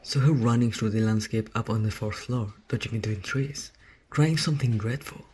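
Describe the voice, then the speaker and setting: Low, mischievous poltergeist played by a man. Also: normal speed, a little mellow, a little hiss or hum, natural voice. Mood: gleeful, taunting. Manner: mock-serious, relishing attention. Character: mischievous male poltergeist, gleeful, mock-serious tattler. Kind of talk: fan audio drama acting.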